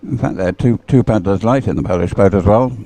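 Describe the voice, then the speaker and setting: A man's voice: on the low side, fast talker, mellow. A man giving live, off-the-cuff race commentary in a conversational, matter-of-fact way.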